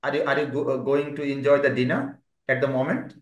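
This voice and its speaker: A man's voice: medium pitch, little pitch movement, brisk, lo-fi sound. A man teaching an online spoken English class, calling on students and praising their answers. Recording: roomy echo.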